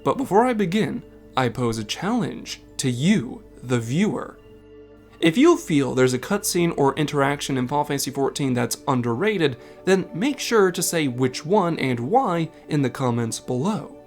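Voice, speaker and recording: A man's voice: a little high, animated, moderate pace, darkish tone, soft background music. A man delivering scripted narration as the host of a video essay. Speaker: video essay narrator and game content creator.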